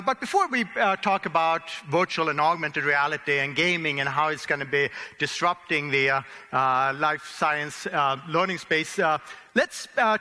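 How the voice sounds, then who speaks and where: An American man from Oklahoma giving a conference keynote talk, animated, with frequent fillers and laughter. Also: sits high. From Oklahoma, USA. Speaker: conference speaker. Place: large conference hall. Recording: reverberant hall.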